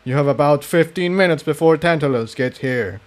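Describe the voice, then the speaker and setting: A man's voice: a little high, lightly acted. Man voicing a smug, cruel pony villain. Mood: cold menace. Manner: taunting, drawn-out.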